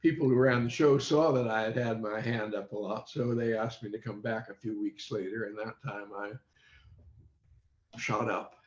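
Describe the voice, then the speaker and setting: A man in his eighties or older reminisces as an interview guest, halting and measured, with long pauses, on a video call. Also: on the low side, steady tone. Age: 80s or older. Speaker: interview guest, former radio quiz show child panelist. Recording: dull, roomy video call audio.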